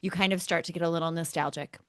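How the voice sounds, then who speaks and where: Female podcast co-host, slightly low register, conversational and emphatic sports chat, dry recording. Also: fairly even intonation, fast, no room sound. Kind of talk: casual sports discussion.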